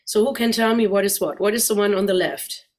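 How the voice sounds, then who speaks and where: A woman's voice: medium pitch, fairly even intonation, brisk. A middle-aged woman with a German accent, a guest speaker walking a club audience through slides in a chatty way and asking them questions.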